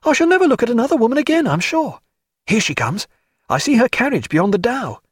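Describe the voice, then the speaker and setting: Brief: man playing excitable young officer, pitched up, breathless, husky edge. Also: fairly quick, dry recording, clean studio sound, exaggerated voice. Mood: gushing. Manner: gushing, excitable. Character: lovestruck young naval officer, gushing.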